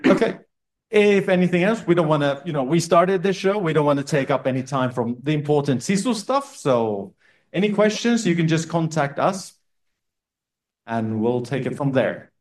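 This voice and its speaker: A man's voice: fairly high pitch, expressive, moderate pace. A man on university staff answering student questions in a casual, chatty way, often restarting his sentences. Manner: loose and chatty, with frequent restarts. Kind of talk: casual Q&A session. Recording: echoey room.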